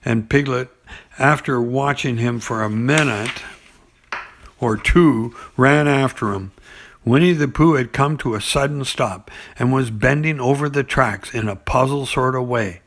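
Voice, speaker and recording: A man reading a children's bedtime story in an unhurried, halting way, with pauses and small stumbles. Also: medium pitch, vocal fry, fairly bright tone. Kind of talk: bedtime story reading with asides and character voices.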